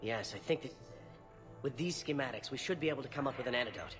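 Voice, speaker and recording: An actor playing an earnest, hard-pressed doctor in a video game, speaking with urgency. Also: male voice, medium pitch, quick pace, music in the background, natural voice. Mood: relieved. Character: earnest, hard-pressed male doctor pleading for his patient. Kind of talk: video game cutscene dialogue.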